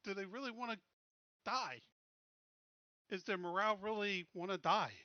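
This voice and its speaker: A man's voice: high-pitched, moderate pace, sharp tone, dry recording, lo-fi sound. A man chatting casually as a player in a tabletop game, blunt and insistent, often repeating himself.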